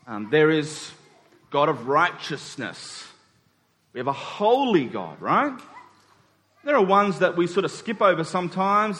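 High-voiced man preaching conversationally. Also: fairly bright tone. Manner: rhetorical check-ins with the congregation; emphatic repetition of key phrases. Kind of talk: conversational church sermon.

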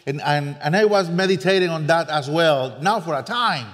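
A man from Costa Rica with a Costa Rican Spanish accent, a native Spanish speaker, preaching as a guest with earnest, self-deprecating warmth and frequent restarts. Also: on the high side, animated, normal speed. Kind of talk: sermon. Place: church sanctuary. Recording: long church reverb.

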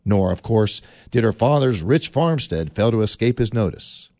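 A man narrating a radio play in a measured storytelling manner. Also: lowish voice, expressive, warm tone, no room sound, studio quality.

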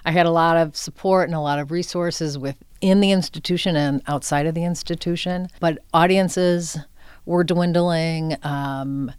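Middle-aged female interview guest, arts leader, smooth resonant voice, close dry sound, candid and conversational. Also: low voice, steady tone, moderate pace, darkish tone, dry recording. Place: inside a cinema theater space.

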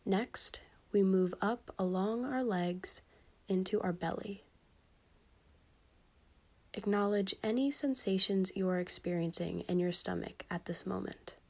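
Soft-spoken woman guiding a sleep meditation. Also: mid-range voice, creaky. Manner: soft, soothing, gentle instruction with pauses. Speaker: meditation guide. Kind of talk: guided sleep meditation, scripted.

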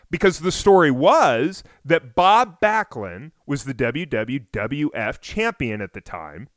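A man hosting a wrestling podcast, telling a story in a casual, energetic way, with self-deprecating asides and near shouts when he gets excited. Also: high-pitched, no echo, clean studio sound. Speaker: podcast host.

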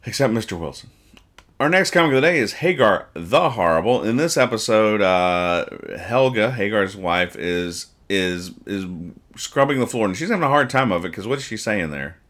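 Male podcast host, lower-pitched, bright forceful voice, deadpan, mock-exasperated, casual comedic commentary. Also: moderate pace. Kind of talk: comedic casual commentary reading comic strips aloud.

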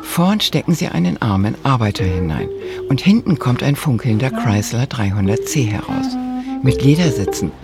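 Male narrator for a documentary feature, mid-pitched, wry storyteller, music underneath. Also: sing-song, normal speed, gravelly.

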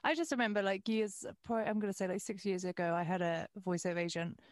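A woman's voice: mid-range voice, steady tone, quick pace, no echo, studio quality. A woman new to voiceover chatting casually, enthusiastic and rambling. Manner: enthusiastic, rambling with fillers and restarts. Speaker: voiceover newcomer. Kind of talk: casual podcast chat.

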